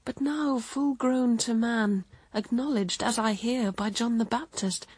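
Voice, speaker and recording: A sorrowing, dignified mother in classical radio drama. She sighs through solemn verse at a very slow pace, her middle-pitched voice husky and recorded close and dry. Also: darkish tone, no echo. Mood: wistful, troubled. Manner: sighing, contemplative, solemn.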